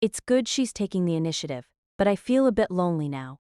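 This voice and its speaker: A woman playing a confident teenage girl who aspires to police work, brisk and deadpan, in an audio drama. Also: fairly low pitch, clean tone, no echo, clean studio sound. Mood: reflective. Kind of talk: anime-style audio drama acting.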